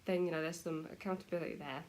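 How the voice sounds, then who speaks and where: A woman's voice: lowish voice, steady tone, fast, darkish tone, slight background noise. A British woman chatting casually to camera at home, self-deprecating, her nose stuffy from a cold. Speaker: vlogger.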